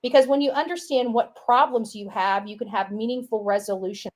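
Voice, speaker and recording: A woman's voice: on the high side, fairly quick. A woman giving a casual, opinionated monologue, rambling along and often repeating herself with filler phrases. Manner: rambling, repeats herself, says "you know" and "right" often.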